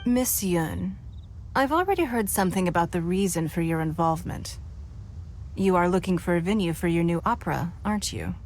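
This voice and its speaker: A woman's voice: lowish voice, animated, normal speed, faint music behind. A woman playing a poised, powerful lady leader in a video game, gracious, formal and persuasive. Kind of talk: scripted video game voice acting.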